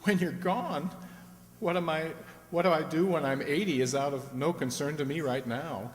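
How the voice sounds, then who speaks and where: A man playing a humble, devoted young sweetheart in anguish in a radio drama, halting and repeating his words. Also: a little high, close to an everyday voice. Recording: echoey hall reverb.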